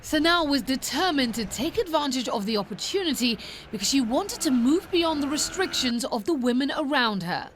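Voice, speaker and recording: Lady reporter doing scripted news voiceover, really high voice. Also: lively intonation, moderate pace, slight background noise. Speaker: reporter narrating a profile.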